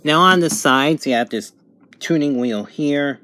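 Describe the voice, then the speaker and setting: A man giving a casual, unscripted product review at home, thinking aloud in halting phrases. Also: a little high, sharp tone, no room sound. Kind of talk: casual unboxing and review narration. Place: home bedroom, indoors.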